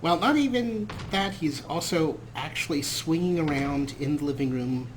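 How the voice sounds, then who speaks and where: A man's voice: a little high, animated, moderate pace, slight background noise, poor audio. A man hosting a gaming show with casual let's-play commentary, talking in a wry, rambling way.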